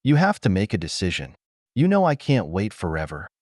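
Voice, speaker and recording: A man's voice: lowish voice, huge pitch swings, brisk, a little mellow, no room sound, studio quality. A man voicing a pushy, impatient boss and secret lover, urgent and insistent, in an audio story.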